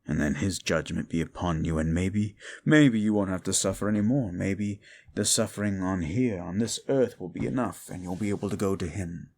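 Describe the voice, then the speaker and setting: A man voicing a brooding, scornful fallen demon in an audio roleplay, with a deep gravelly voice and a slow way of talking. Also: a little mellow.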